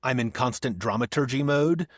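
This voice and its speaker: A man, an actor and audiobook narrator, talking as a podcast guest, thinking aloud with restarts and soft laughs. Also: mid-range voice, normal speed, no room sound, clean studio sound. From Northeast Ohio. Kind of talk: conversational podcast interview.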